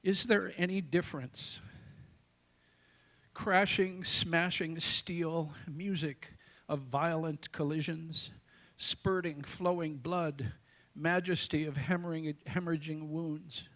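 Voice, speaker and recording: Man in his sixties, American accent, fairly high tenor, poetry recital, deliberate emphatic phrasing, dramatic pauses. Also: steady tone, fairly bright tone. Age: 60s. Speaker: amateur poet. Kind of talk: reciting own poetry to a live audience.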